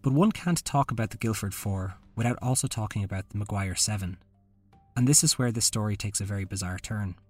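A man's voice: fairly low pitch, fairly quick, faint music behind. A man hosting a podcast, reading a scripted true-crime narration. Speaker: podcast host.

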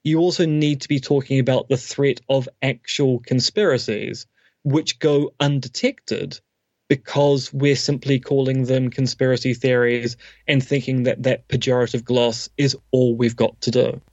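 A man's voice: medium pitch, little pitch movement, unhurried, a little mellow, dry recording, highs cut off. A man, a philosophy academic, explaining his views at length in an interview with dry humour. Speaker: guest academic, philosophy professor.

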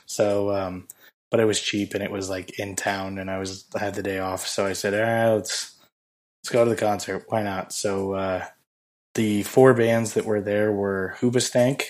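Hoarse low-voiced man, rambling. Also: level tone, darkish tone. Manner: rambling, halting with many fillers. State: hoarse, losing his voice. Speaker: podcast co-host. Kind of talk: casual chat.

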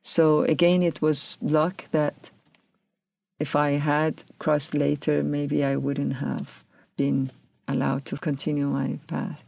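A woman from Iran, recounting her personal history in an interview, reflective and pausing to search for words. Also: deep voice, little pitch movement, vocal fry, a little mellow, no room sound.

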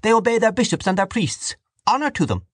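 A man voicing a devout, hot-tempered woman in an audiobook novel, loud, righteous and indignant. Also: high-pitched, lively intonation, fast talker, no echo, clean studio sound, voice pushed up.

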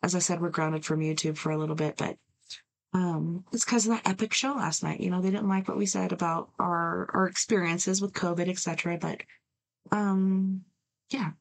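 A woman's voice: lowish voice, steady tone, moderate pace, creaky. A woman hosting a livestream in casual chat, bluntly reacting and talking back at a video, sometimes swearing. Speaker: livestream show host. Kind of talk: casual live reaction commentary. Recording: noisy livestream room sound.

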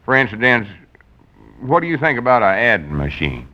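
A man's voice: medium pitch, sing-song, normal speed, bright, forceful tone. A man playing a stubborn, folksy country storekeeper with a rural Southern US accent in a 1940s radio comedy. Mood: grumbling, opinionated. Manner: homespun dialect, grumbling. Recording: dull vintage 1940s radio recording.

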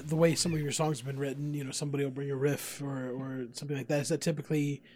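A man's voice: medium pitch, flat delivery, rapid-fire, darkish tone. A man speaking casually as a podcast interviewer, asking questions in a chatty way.

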